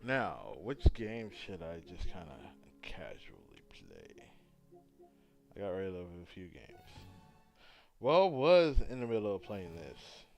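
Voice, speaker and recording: A hungover man casually chatting with his livestream viewers as he sets up a game, rambling and halting. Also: low voice, big pitch swings, creaky, darkish tone, faint music behind. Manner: rambling, halting, talks to chat while fiddling with setup. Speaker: gaming livestreamer.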